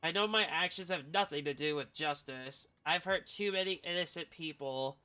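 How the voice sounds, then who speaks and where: A man's voice: on the high side, moderate pace, bright, forceful tone, clear, resonant tone. A man who streams games, reading story text aloud and adding casual commentary. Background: video game music.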